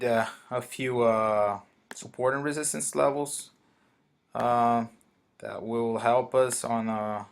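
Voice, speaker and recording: A man from Brazil, a native Portuguese speaker with a Brazilian Portuguese accent, walks through a currency trade at his computer in a hesitant manner, pausing often and restarting sentences. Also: medium pitch, fairly bright tone. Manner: hesitant, many fillers and restarts. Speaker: forex trader. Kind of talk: screen-recorded trading walkthrough.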